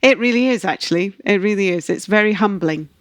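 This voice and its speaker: Scottish woman in her fifties, dry close recording, middle-pitched, chatty guest, gentle self-mocking humor. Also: brisk, dry recording. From Scotland. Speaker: guest ecologist. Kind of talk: relaxed interview answers.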